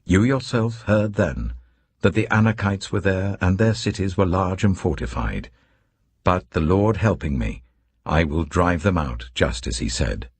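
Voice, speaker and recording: A man's voice: low voice, lively intonation, a little slow, husky, dry recording, full character voice. A British man voicing a vigorous, devout old warrior in his eighties in an audiobook, earnest and emphatic. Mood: proud, determined. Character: vigorous eighty-five-year-old male warrior elder, proud and devout.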